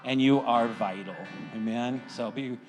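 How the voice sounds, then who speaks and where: A middle-aged American man addresses his church as its pastor. His delivery is informal, folksy and encouraging, often seeking agreement from the congregation. Soft guitar music plays faintly behind his mid-pitched voice. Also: measured pace. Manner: folksy, encouraging, checks in with "right?". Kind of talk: informal sermon and church announcements.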